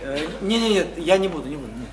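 A man's voice: on the high side, big pitch swings, quick pace, background noise. An actor in a radio drama playing an awkward, polite visitor, uneasy and evasive, stammering and repeating his words. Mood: nervous. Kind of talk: stage-style radio drama acting.

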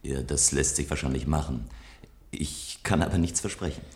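A very low male voice carries this science fiction radio play. a composed, self-assured inventor of games from a future society. His delivery is articulate, measured and reflective. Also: a little mellow, husky. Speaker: narrator and lead character in a radio play. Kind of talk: scripted German radio drama, first-person narration.

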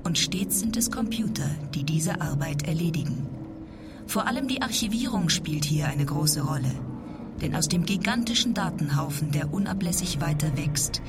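A woman narrates a scripted documentary in a deep register. Her delivery is factual and orderly, with music clearly playing underneath. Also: expressive, moderate pace.

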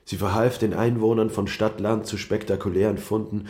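A man's voice: lowish voice, little pitch movement, moderate pace, darkish tone. A man giving a dramatic scripted literary narration as the story's narrator.

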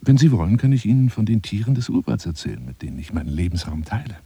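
An actor playing a lonely, self-dramatizing hunter in a radio drama monologue, wooing a woman in a courtly, theatrical, husky voice that drifts into whispers. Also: on the low side, quick pace, warm tone, a little hiss or hum, natural voice. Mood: musing, melancholy. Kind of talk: radio drama monologue as one side of a phone call.

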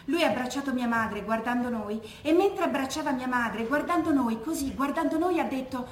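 An actress playing a composed, devout, well-spoken daughter of an executed prisoner in a solemn theatrical monologue. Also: female voice, high-pitched, moderate pace, close to an everyday voice. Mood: solemn, moved. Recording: roomy echo, faint background noise.

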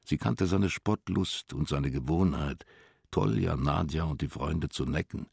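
Sehr tiefer, knarrender Erzähler. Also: male voice, extremely low pitch, unhurried, vocal fry, darkish tone, slightly rough, no echo, clean studio sound. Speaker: narrator. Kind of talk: scripted literary narration for a German radio drama.

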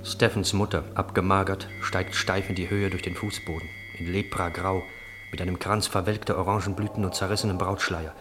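A man's voice: deep voice, flat delivery, brisk, background noise, close to an everyday voice. A man narrating a literary radio drama as an observant storyteller, in precise, descriptive, clipped phrases. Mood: grave. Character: observant narrator of a brothel scene. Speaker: narrator of stage directions. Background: music.

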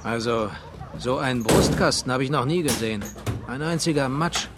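A man's voice: medium pitch, moderate pace, lightly acted. A man playing a blunt, callous ambulance man in a German radio crime drama, with a flippant manner. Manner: flippant, gruesomely jokey. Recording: loud vehicle and outdoor noise.

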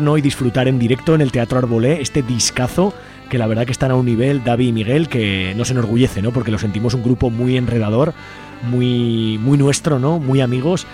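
A man's voice: medium pitch, darkish tone. A man hosting an informal community radio show, talking colloquially and directly to listeners and guests. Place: radio studio. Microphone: studio microphone. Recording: music playing behind the voice.

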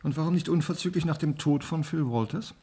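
An actor playing a stern, accusatory interrogator in a German radio play, his voice husky and gravelly. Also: fairly high pitch, fairly quick, dark tone. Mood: probing. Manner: pointed, accusatory.

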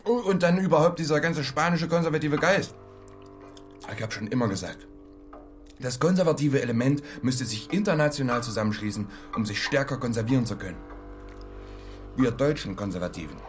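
An actor playing a foolish, self-satisfied wealthy conservative gentleman who pontificates in a German radio play. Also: mid-range voice, animated, fairly quick, over music, close to an everyday voice. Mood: pompous, smug. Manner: pompous, pontificating.

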